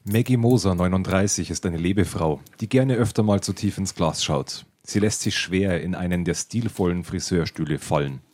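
A man's voice: low voice, normal speed, hardly any pauses, a little mellow. A man reading out the scripted stage directions of a radio play.

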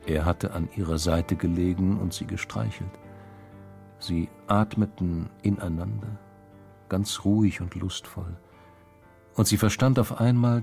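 A man narrating literary fiction as an audiobook reader, deliberate and dramatic in his storytelling. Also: sits low, creaky, a little mellow. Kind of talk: literary narration with acted dialogue.

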